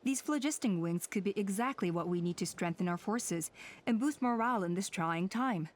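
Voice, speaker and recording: Woman playing a calm tribe elder lady, mid pitch, measured, authoritative, anime game. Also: a little hiss or hum, close to an everyday voice. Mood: resolute.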